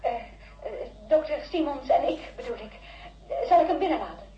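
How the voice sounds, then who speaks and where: A woman's voice: high-pitched, expressive, normal speed, dark tone, echoey room, muffled recording, soft background music. A woman playing a flustered, deferential assistant in a radio drama, hesitant and formal.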